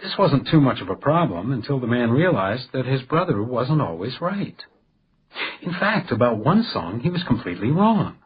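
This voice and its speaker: An actor playing a polite but stubborn hotel detective in a radio crime drama, with a dry, storytelling way of talking. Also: male voice, medium pitch, very animated, normal speed, breathy edge, lo-fi sound. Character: hotel house detective, male, deferential but stubbornly needling.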